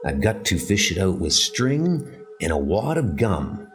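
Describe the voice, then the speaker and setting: Cocky scheming convict voiced by a man, slangy tough talk, slow delivery, music bed. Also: low-pitched, sing-song. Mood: grumbling.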